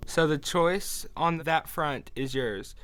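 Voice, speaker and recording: A man playing a calm otherworldly guide of the dead in an audio drama, measured, cryptic and probing. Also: fairly high pitch, clear, resonant tone. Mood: calm, explanatory.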